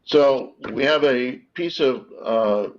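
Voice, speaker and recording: A man presenting a product as a webinar guest, explaining technical details informally with halting pauses mid-phrase. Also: medium pitch, slight background noise. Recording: dull, lo-fi online call audio.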